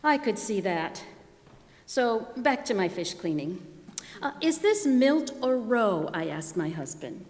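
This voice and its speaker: A woman's voice: medium pitch, big pitch swings, slow, a little mellow. A woman reading a scripted first-person story aloud as part of a readers theater performance. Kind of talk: scripted first-person narration read aloud to a live gathering. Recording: long echoing hall reverb.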